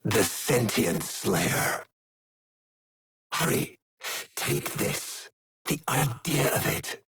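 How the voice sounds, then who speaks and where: An actor playing an imperious, bitter, tormented aristocratic game villain, theatrical and breathy, lingering on his words. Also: medium pitch, animated, very slow, a bit bright, no room sound, full character voice. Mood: grave, urging. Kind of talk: dramatic video game villain acting.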